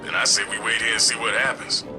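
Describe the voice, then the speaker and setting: A man's voice: on the low side, little pitch movement, fast talker, over loud music, close to an everyday voice. Man playing a puzzled, doubtful henchman. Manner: puzzled, incredulous. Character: slow-witted, doubtful male henchman. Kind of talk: video game voice acting.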